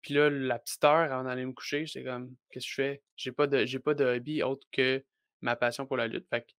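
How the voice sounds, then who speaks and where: Young guy, Québécois accent, podcast guest; stop-start delivery, monotone, dry. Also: mid-range voice, a little fast, dry recording. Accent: Québécois French. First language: French. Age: young adult. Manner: hesitant, many restarts and fillers. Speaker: wrestler guest. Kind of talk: casual podcast interview.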